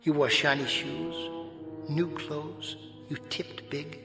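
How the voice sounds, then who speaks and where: An actor playing a smug, sly tempter spirit in a radio drama, taunting in a silky, formal, theatrical manner. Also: male voice, a little high, little pitch movement, slow, gravelly. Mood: needling, smug. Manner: mocking, silky, formal, goading. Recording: echoey hall reverb, music underneath.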